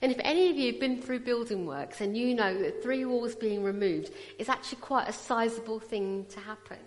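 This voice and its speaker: Woman in her 40s with a British accent and a fairly high voice, giving an interactive church talk into a PA mic in a big echoing hall. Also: a little fast. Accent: British English. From Punjab, India. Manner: chatty, teasing, draws the audience in. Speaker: guest speaker at a church. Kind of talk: personal testimony sermon. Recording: long reverb of a large hall.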